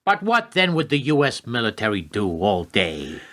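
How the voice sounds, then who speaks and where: Bright, sharp, menacing defense secretary played by a man. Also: lowish voice, wildly swooping pitch. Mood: sardonic, challenging. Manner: formal, pointed, deliberate. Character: grim, cynical hawkish male Secretary of Defense, coolly menacing. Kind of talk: radio play acting.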